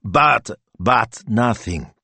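A man's voice: on the low side, animated, very slow, creaky, sharp tone, dry recording, pitched above the performer's own voice, exaggerated voice. A man voicing a doubtful, easily exasperated Italian husband in an audiobook, with a grumbling, hesitant manner. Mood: grumbling, protesting.

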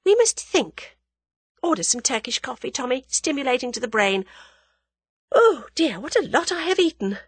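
A woman voicing a bold, impulsive young English woman in a dramatised audiobook, bright and emphatic. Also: high voice, sing-song, normal speed, slightly rough, no room sound, voice pushed up, heavily acted. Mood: brisk, emphatic. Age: young adult.

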